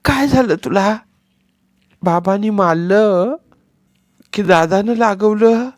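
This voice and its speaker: A man's voice: high voice, slow, no echo, clean studio sound, pitched above the performer's own voice. A man voicing a small tearful boy who lisps, his r sounds coming out as l, in a storybook reading. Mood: pleading, tearful. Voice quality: childish lisp, r turned to l.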